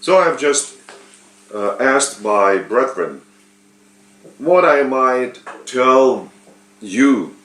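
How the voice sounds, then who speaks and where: A man from Lithuania, speaking English with a Lithuanian accent, giving an unscripted spiritual sermon with many pauses and fillers. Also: medium pitch, sing-song, fairly bright tone, reverberant. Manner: slow, halting, with frequent pauses and fillers. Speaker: spiritual teacher.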